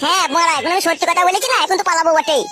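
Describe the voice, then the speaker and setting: A woman's voice: extremely high pitch, very fast, a crowd in the background. A woman voicing a defiant, aggrieved young married son in a comic cartoon, whining and cursing in a rural West Bengal accent. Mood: defiant, taunting.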